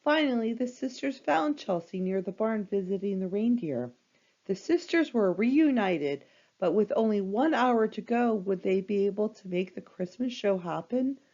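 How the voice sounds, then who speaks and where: Animated woman reading a children's story. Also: mid-range voice, moderate pace, lo-fi sound. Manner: expressive, dramatic delivery for young children. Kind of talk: scripted read-aloud storytelling.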